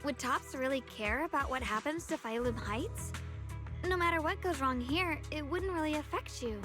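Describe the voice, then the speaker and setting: Anime game swordswoman, young and sheltered, played by a woman: high soft voice, sincere, hesitant, faint music behind. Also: moderate pace, close to an everyday voice. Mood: questioning. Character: earnest, sheltered young swordswoman, gentle but determined.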